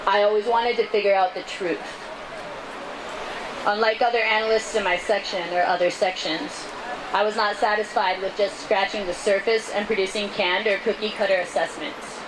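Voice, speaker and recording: Woman, middling pitch, measured earnest reading of a written statement, outdoor street recording, clear background noise. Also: steady tone. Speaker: speaker reading a testimony at a rally. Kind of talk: reading prepared testimony aloud. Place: outdoors at a city street rally.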